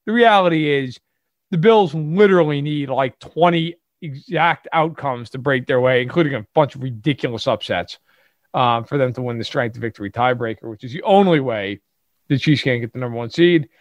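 A man's voice: mid-range voice, moderate pace, no room sound. A man co-hosting a sports podcast, giving emphatic opinions in casual talk full of fillers and restarts. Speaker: podcast co-host. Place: lives in Chicago.

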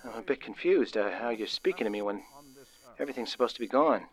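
A man's voice: mid-range voice, expressive, fast, lightly acted, rough recording. Actor as hesitant, lonely, frightened male caller. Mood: confused, hesitant. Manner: hesitant, stammering, pleading. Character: lonely, frightened man phoning the operator. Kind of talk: 1940s radio drama acting.

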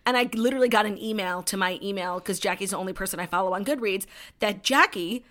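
A woman's voice: mid-range voice, quick pace, fairly bright tone. A woman co-hosting a podcast in casual chat, blunt and teasing, swearing freely. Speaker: podcast co-host.

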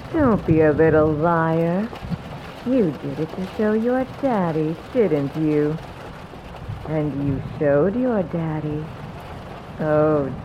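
Woman playing a vengeful dead woman's ghost, slow singsong taunts, low-ish voice, very slow, slightly muffled. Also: background noise. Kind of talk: horror audio drama.

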